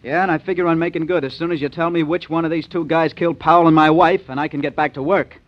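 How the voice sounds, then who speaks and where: Male actor as impatient suspect, rapid and pushy, lo-fi vintage radio. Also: fairly high pitch, fairly even intonation, natural voice. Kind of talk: 1940s radio acting. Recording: dull lo-fi old radio recording.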